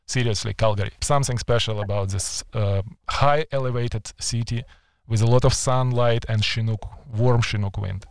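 A man's voice: medium pitch, fairly even intonation, dry recording. Older Ukrainian-accented man hosting a podcast. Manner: reflective, halting with fillers. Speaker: podcast host. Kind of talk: casual interview chat. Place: home in Vancouver.

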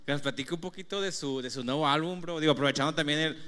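A man's voice: on the high side, rapid-fire, big-room sound, a crowd in the background. A man, a laid-back co-host chatting casually with slangy words. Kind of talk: casual talk show chat.

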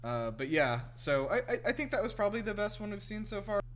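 A young man hosting a podcast, chatting casually about films in a halting, rambling, informal way. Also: high voice, big pitch swings, a bit bright. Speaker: podcast host. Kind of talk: casual chat, informal film review.

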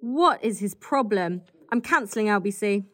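A woman's voice: medium pitch, animated, normal speed, close to an everyday voice. A woman playing an acid-tongued, impatient political staffer in a comedy drama. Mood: exasperated, sarcastic. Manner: cutting, sarcastic, impatient. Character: acid-tongued, foul-mouthed female political staffer, scornful. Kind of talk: fast comedy audio drama dialogue.

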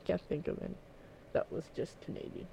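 A man's voice: mellow, slight background noise, poor audio. A young man from the Philippines co-hosting a casual chat podcast, playful and teasing. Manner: playful, teasing, quick to laugh. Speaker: podcast co-host.

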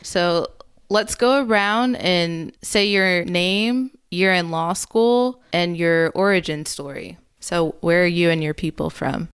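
Casting: young woman podcast host, somewhat low voice, upbeat and welcoming. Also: slow pace, clear, resonant tone. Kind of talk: podcast intro and group interview.

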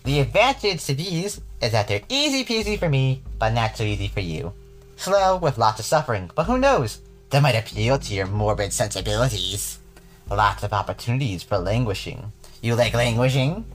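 A man voicing a sinister, bodiless voice that slowly mocks and taunts a trapped girl, read aloud from a storybook. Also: medium pitch, sing-song, natural voice. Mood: gloating menace. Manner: mocking, slow, relishing cruelty.

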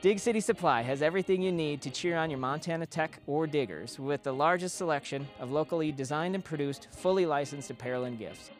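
Man, ad read, slightly high pitch, faint music under. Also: brisk, hardly any pauses. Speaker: ad announcer. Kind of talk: scripted ad read.

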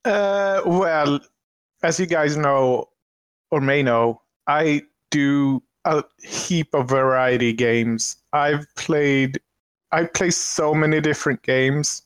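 A man from Sweden with a Swedish accent, chatting slowly and hesitantly about games in a casual podcast. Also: a little high, clean tone, no echo. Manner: slow, hesitant, with many ums and restarts. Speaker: streamer and podcast guest. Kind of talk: casual chat.

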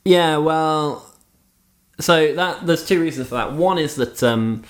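A man with an English accent who grew up in Switzerland, chatting casually as a podcast co-host, animated and often laughing through his restarts. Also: fairly high pitch, takes it slowly. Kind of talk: casual chat.

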